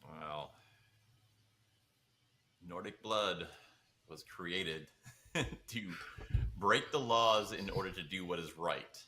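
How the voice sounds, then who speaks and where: A man's voice: sits low, takes it slowly, pauses often, pushed and bright, slight background noise. A man talking casually as a podcast co-host, in an informal conversational style. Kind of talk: casual chat.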